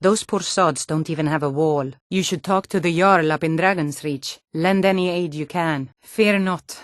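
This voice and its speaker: A woman's voice: low voice, moderate pace, no room sound, studio quality, natural voice. An actress voicing a hardy, no-nonsense Nord townswoman and guard in a fantasy video game, with a firm, plain-spoken delivery. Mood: steady, watchful. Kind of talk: scripted fantasy game dialogue.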